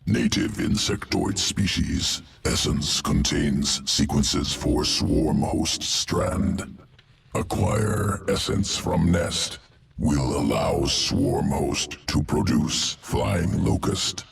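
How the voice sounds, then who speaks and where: A man's voice: very deep voice, very slow, heavily acted. A man voicing a cold, clinical alien creature in a video game, guttural, in terse emotionless phrases. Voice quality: guttural, gravelly. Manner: terse clipped phrases without articles, emotionless. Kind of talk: video game mission briefing.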